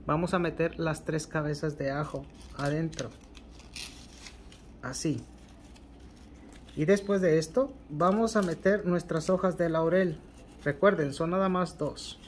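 Mexican man, slightly high voice, walks viewers through a ritual step by step, repetitive and unhurried, faint hiss behind. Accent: Mexican Spanish. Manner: unhurried and repetitive, ending phrases with a questioning "ok". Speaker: occult ritual instructor. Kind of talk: spoken tutorial demonstrating a ritual. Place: indoors at a table covered with candles and ritual objects.

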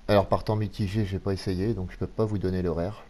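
A man narrating a casual fishing game tutorial, halting often with fillers as he explains. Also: low-pitched, little pitch movement, warm tone.

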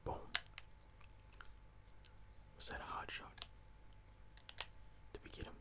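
A young adult gamer whispering casual ASMR gameplay commentary in short muttered reactions, with some swearing. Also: slow pace. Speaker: gaming streamer.

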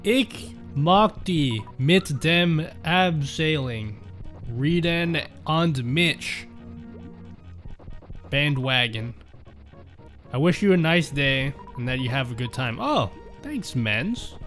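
A man's voice: on the high side, expressive, slow, a bit bright, faint music behind. A man streaming a game, chatting casually and joking with his viewers as he reads their messages aloud. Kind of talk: casual chat while playing a game.

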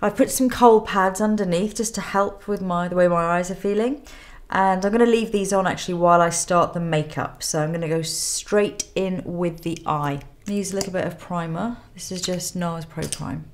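A woman in her thirties with a cold and a nasal voice, calmly talking through a makeup tutorial step by step in a studio. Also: lowish voice, moderate pace. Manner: calm step-by-step instruction while applying makeup. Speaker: makeup artist. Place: indoor studio with plain grey backdrop.

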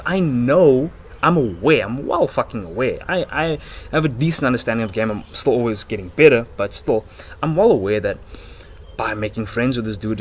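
This is a young man in his twenties with a mid-range voice. He talks in a loose, excitable ramble full of slang, like a casual vlogger. The sound is a slightly noisy laptop microphone out on a porch. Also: mid-range voice. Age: 20s. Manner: excitable, slangy, swearing, breaks into giggles. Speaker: self-improvement vlogger. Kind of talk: casual chat, rambling monologue. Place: outdoors on a house porch. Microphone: laptop built-in microphone at arm's length.

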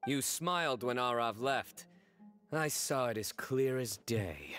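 Stern investigator, game character, calm, deliberate, cold. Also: male voice, mid-range voice, clean tone, faint music behind. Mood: deducing. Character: stern young male desert investigator. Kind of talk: video game voice acting.

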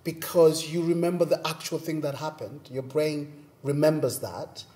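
A man from Zimbabwe, a playwright and performer, talking thoughtfully and animatedly about his work in a bright rehearsal room. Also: fairly high pitch, fairly even intonation, normal speed. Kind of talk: reflective conversation.

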